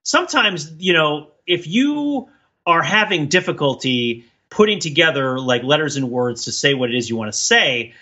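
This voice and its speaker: Bright-voiced man thinking aloud, slightly dull audio. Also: fairly high pitch, sing-song, normal speed. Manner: discursive, thinking aloud, often tags points with "right?". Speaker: podcast co-host. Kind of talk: casual discursive podcast chat.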